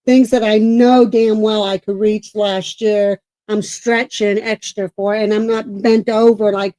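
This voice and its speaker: A middle-aged woman co-hosting a paranormal talk show, chatting casually and loudly with a projecting voice, rambling with many restarts and self-corrections. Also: medium pitch, flat delivery, measured pace, no room sound. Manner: self-described talker, loud and projecting. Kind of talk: casual livestream chat.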